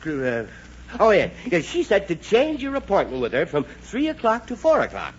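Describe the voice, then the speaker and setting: Blustery, swooping-voiced flustered dad. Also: male voice, high-pitched, huge pitch swings, normal speed. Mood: impatient. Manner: blustery, harried, exaggerated. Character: flustered, exasperated middle-aged suburban father. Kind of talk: 1940s radio comedy acting. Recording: muffled, noisy 1940s radio recording.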